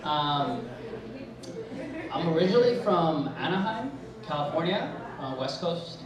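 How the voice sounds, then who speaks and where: A man's voice: a little high, very animated, crowd noise. Hesitant man with echoey hall sound. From Mexico. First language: Spanish. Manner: hesitant, many pauses and fillers, mixes in Spanish. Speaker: high school educator. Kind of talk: personal storytelling at a live event. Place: event hall. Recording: echoey hall, mic far off.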